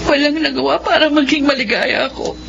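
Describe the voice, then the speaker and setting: A woman's voice: high voice, a little fast, creaky. An actress playing a remorseful, despairing woman prisoner in a radio drama, anguished and tearful. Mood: remorseful, grieving. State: crying. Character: remorseful, despairing female prisoner serving life. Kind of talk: Filipino radio drama monologue. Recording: dull lo-fi radio, loud music behind.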